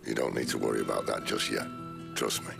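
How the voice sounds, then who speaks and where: Gruff rebel leader, middle-aged, rock-bottom pitch, heavy gravel, wry, dark fantasy game, music behind. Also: male voice, steady tone, full character voice. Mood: confident. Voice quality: gravelly, deep. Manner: wry, teasing, unhurried authority. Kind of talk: video game cutscene acting.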